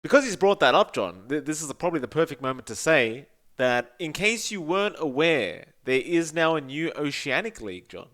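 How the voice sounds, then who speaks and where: Animated Australian man, fairly high voice. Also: moderate pace, hardly any pauses. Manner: animated, ranting, blunt and profane, keeps addressing his co-host by name. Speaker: podcast co-host and esports caster. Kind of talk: casual podcast chat.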